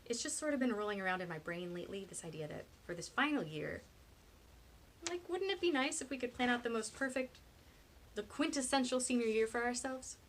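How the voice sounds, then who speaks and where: A woman's voice: lowish voice, lively intonation, quick pace, bright, forceful tone, slight background noise. A woman voicing an eager, earnest teenage girl who rambles enthusiastically in an audiobook.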